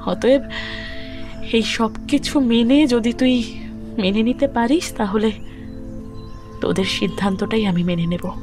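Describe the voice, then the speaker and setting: Lady acting a grieving young kolkata bengali widow, firm and distant, audio drama with music clearly behind. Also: a little high, a little mellow, lightly acted. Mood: cold, resolute. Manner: solemn, deliberate, firm. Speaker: actress in an audio story. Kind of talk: romantic audio drama dialogue. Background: background music.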